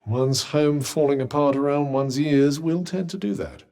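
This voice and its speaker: A man's voice: fairly high pitch, normal speed, warm tone, lightly acted. A man with a British accent voicing a haughty, sardonic talking magical object in a video game, with a dry, condescending and theatrical manner. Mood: dry, musing. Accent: British English. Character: sardonic, haughty, well-spoken talking magical cuff, male. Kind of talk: video game character dialogue.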